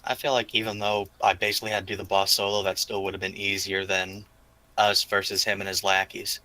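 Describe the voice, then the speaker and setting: Bright-voiced man in casual gamer chat. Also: lowish voice, flat delivery, fairly quick, poor audio. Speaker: player in a tabletop roleplaying game. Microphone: remote voice call microphone. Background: background guitar music.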